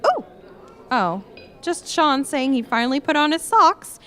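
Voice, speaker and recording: A woman's voice: high-pitched, big pitch swings, measured pace, sharp tone, faint music behind, full character voice. An actress playing a gossipy, scatterbrained young woman glued to social media in a live radio play. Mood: breezy, nosy.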